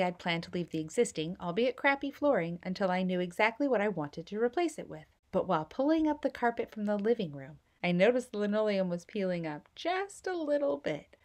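Woman narrating a home renovation story. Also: medium pitch. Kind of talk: scripted voice-over narration.

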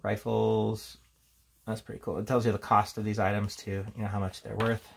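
Guy with a lowish creaky voice rambling casually through a game review, some noise behind him. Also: level tone, moderate pace. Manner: rambling, reads headings aloud between casual remarks. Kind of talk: casual unscripted flip-through commentary.

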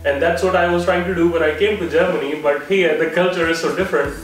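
A young man, his voice a little high, telling stories among friends and breaking into laughs. He is picked up by a camera across a living room, so the sound is very echoey and distant. Faint music plays underneath. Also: fast talker. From Pakistan. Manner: laughs often, restarts sentences, animated hand gestures. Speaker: vlog host. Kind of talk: casual storytelling among friends. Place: living room in a flat, indoors. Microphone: far camera. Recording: very roomy, from a far-off camera mic.

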